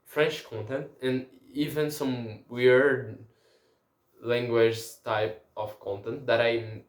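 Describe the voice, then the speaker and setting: A man whose first language is Portuguese talks slowly and haltingly, restarting his sentences as he hunts for words. His medium voice rings in a roomy, echoey space. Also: mid-range voice, little pitch movement. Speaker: language learner. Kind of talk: casual spoken language diary.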